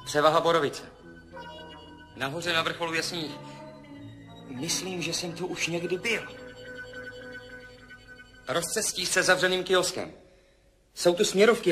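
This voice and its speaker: An actor in a 1970s radio play voicing a dazed, frightened pilot who reports in short, halting phrases. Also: male voice, on the high side. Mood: tense, observing. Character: dazed, frightened male pilot drifting between memory and death. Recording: 1970s radio drama, loud music behind.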